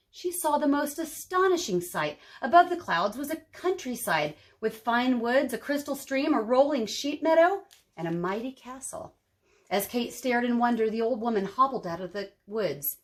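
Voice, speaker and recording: Lady doing story time for kids, highish voice, very animated and dramatic. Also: moderate pace. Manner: dramatic, explains words to children. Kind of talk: expressive children's storybook narration.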